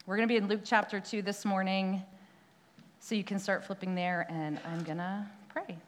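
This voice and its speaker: A woman's voice: mid-range voice, fairly even intonation, normal speed, slight background noise. A young woman preaching a church sermon in a conversational way, often asking "right?", with a prayer and a scripture reading. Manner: conversational, frequent tag "right?", some restarts.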